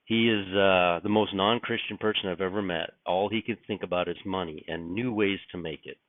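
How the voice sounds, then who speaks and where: Man, raspy morning voice, rambling reflection, phone-like sound, dry. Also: low-pitched, steady tone, brisk, no room sound. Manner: rambling, musing, frequent restarts and fillers. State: raspy from just waking up. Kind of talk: casual spoken reflection.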